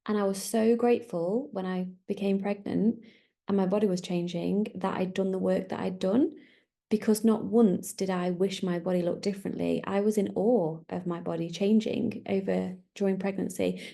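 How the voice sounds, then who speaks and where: Podcast host, woman, 30s, mellow and slightly gravelly, rambling solo chat. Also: mid-range voice, fairly even intonation, moderate pace. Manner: chatty, rambling, self-correcting.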